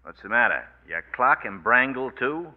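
A man's voice: medium pitch, very animated, moderate pace, natural voice. A male actor with an American accent playing a tough, cynical, wisecracking private detective, sardonic and clipped, in a 1940s radio noir. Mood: tough, pressing. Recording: tinny, reverberant 1940s radio recording.

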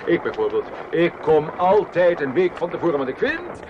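A man's voice: sing-song, moderate pace. An actor playing a vain, jovial opera singer, fatherly and affectionate, speaking theatrically in a radio play. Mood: jovial, indignant. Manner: booming endearments, chuckling, theatrical. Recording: muffled noisy radio play recording with outdoor ambience.

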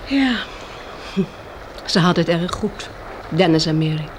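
A woman's voice: fairly low pitch, expressive, a little slow. Tender woman survivor, faint hiss. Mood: tender, wistful. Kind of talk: Dutch radio play acting. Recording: faintly noisy old radio drama recording.

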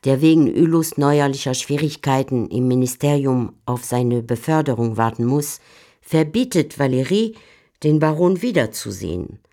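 A woman's voice: rumbling low, mellow. Female narrator of a literary radio play.

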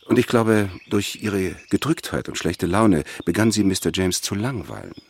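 An actor playing a cold, servile scoundrel of a manservant in a German radio play, formal, deferential and detached. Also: male voice, deep voice, normal speed, a little mellow, husky. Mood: coolly detached.

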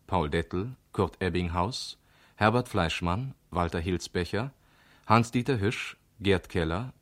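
A man's voice: deep voice, dry recording. A man reading formal scripted announcements as a radio announcer.

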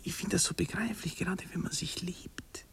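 An actor playing a nervous, sensitive young gentleman in love in a 1960s German radio drama, halting, stammering and self-pitying. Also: high voice, slightly rough, whispered, full character voice. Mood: awkward, explaining.

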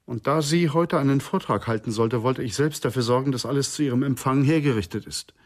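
A man's voice: medium pitch, brisk, lightly acted. An actor playing an earnest psychoanalyst in a German radio crime drama, speaking formally and precisely, with reverence for his profession. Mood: earnest, reverent.

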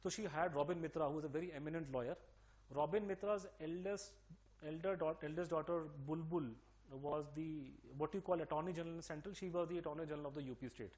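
Middle-aged Delhi-accented man, quick-fire emphatic explainer, higher-pitched voice, lots of restarts. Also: a little mellow. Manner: rapid, emphatic, many fillers and restarts. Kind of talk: interview-style explanation.

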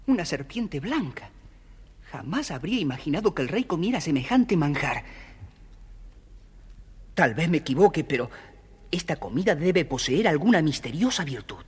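A man's voice: on the high side, big pitch swings, normal speed, long pauses, slightly rough, full character voice. An actor, a man, playing a curious, polite young servant in a children's story.

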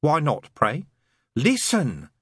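A man's voice: fairly high pitch, very animated, measured pace, fairly bright tone, dry recording, studio quality, voice pushed up, exaggerated voice. A man voicing a glum, sardonic demon who needles and persuades in colloquial, emphatic lists, in an audiobook story. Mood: urgent, desperate.

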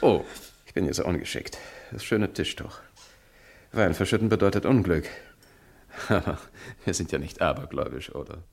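An actor playing an urbane, inscrutable doctor, polite and quietly self-assured, in a radio crime drama. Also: male voice, low voice, animated, normal speed, creaky, a little hiss or hum. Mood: suave, unruffled. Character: urbane, inscrutable male doctor and gourmet delegate.

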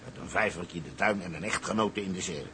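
Sea captain, radio drama: low male voice, brash, determined, emphatic, faint background noise. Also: fast, sharp tone. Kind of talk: Dutch radio drama acting.

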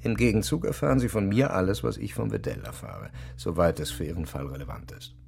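An actor playing a pragmatic intelligence officer in a radio drama, measured, formal and evasive. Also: male voice, lowish voice, very animated, vocal fry, darkish tone, soft background music, heavily acted. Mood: guarded, insistent. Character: smooth-talking, pragmatic male intelligence officer handling informants. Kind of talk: naturalistic radio drama dialogue.

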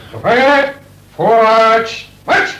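An actor playing a prison guard who barks clipped military commands in a radio play. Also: male voice, very high-pitched, expressive, slow pace, heavily acted. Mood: barking. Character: barking male prison guard marching prisoners in. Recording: echoey, noisy, heard from off.

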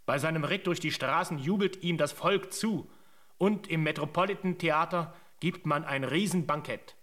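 An actor playing a grave storyteller, narrating a historical epic in scripted radio-play style. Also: male voice, fairly high pitch, moderate pace, a bit bright, close to an everyday voice. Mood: grave, dramatic.

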